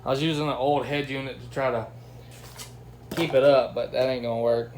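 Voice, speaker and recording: A man's voice: mid-range voice, fairly even intonation, brisk, darkish tone. Man chatting casually on a noisy phone recording. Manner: enthusiastic, slangy, folksy. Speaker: host of product unboxing and test video. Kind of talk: casual unboxing review chat. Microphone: phone's built-in microphone.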